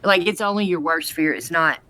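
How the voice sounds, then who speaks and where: A woman's voice: lowish voice, a little fast, sharp tone. A woman co-hosting a podcast, recapping and discussing in an informal, chatty way. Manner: informal, uses y'all. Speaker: podcast co-host. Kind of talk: casual fan discussion.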